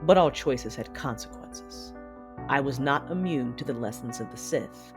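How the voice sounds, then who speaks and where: A woman's voice: very deep voice, animated, measured pace, music in the background. A woman playing a fierce, conflicted Sith woman who narrates a sci-fi audio drama with controlled, brooding drama. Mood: brooding reflection.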